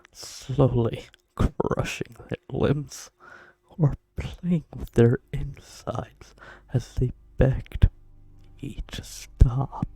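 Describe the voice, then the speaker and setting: A man playing a smug, sadistic sorcerer in an ASMR fantasy roleplay, mock-polite and menacing, with breathy drops into whispers. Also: medium pitch, darkish tone, full character voice. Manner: theatrical, mock-polite, menacing, drawn-out phrasing. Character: smug sadistic male sorcerer, self-styled mage king.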